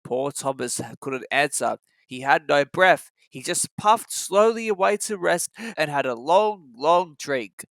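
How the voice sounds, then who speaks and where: A narrator reading a children's story aloud in an expressive, scripted style. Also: mid-range voice, a little slow, creaky, hardly any pauses, no echo.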